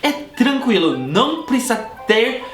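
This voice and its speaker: A young man from Korea talks in a bouncy, swooping voice with a Korean accent, joking and acting out little scenes as he shares tips. His voice rings in a roomy space while music plays clearly behind him. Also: very high voice, measured pace. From South Korea. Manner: playful, animated, acts out little scenes with slang. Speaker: YouTuber. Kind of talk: casual vlog tips. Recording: roomy room with background music.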